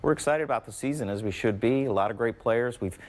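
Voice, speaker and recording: Fast-talking American man being interviewed. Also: medium pitch. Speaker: university athletics representative, interview guest. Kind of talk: conversational interview answers.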